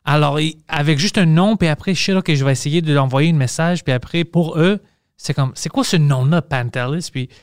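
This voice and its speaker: Podcast host, man, smooth and resonant voice, dry close mic, rambling restarts. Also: on the high side, moderate pace, no echo. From Montreal, Quebec. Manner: loose and chatty, frequent restarts and English slips. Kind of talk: casual conversational chat.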